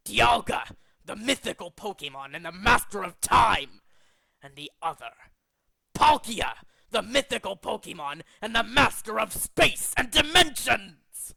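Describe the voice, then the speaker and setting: A man voicing a cold, megalomaniac villain boss in a dramatic, deliberate video game monologue. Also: high-pitched, sing-song, gravelly, pushed and bright, dry recording, voice pushed up, heavily acted. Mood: grandiose, commanding. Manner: grandiose, deliberate, menacing. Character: cold, megalomaniac male villain boss bent on remaking the world. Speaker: amateur voice actor in demo reel.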